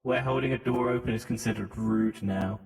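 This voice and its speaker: A man's voice: on the low side, steady tone, brisk, gravelly, darkish tone. A man with a British accent leaning American, joking and swearing in casual podcast banter through a voice modulator. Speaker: podcast co-host. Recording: echoey, long reverb on voice.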